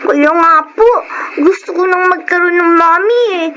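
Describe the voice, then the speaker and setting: Very high chirpy little girl, polite and eager, dull lo-fi radio sound. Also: normal speed, a bit bright, a little hiss or hum. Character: sweet young girl, curious and talkative. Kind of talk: radio drama acting.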